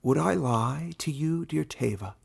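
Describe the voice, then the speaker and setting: A calm and knowing fantasy warden, voiced by a man. The delivery is measured and patient, with a creaky edge. It suits a kindly storybook sage. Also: a little high, voice pushed up, exaggerated voice. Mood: patient reproach.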